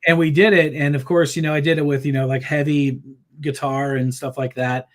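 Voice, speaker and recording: Rambling, animated man telling band stories. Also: fairly high pitch, fairly quick. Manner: rambling, many fillers and restarts; laughs easily, animated storytelling. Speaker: musician guest. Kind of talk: casual interview chat.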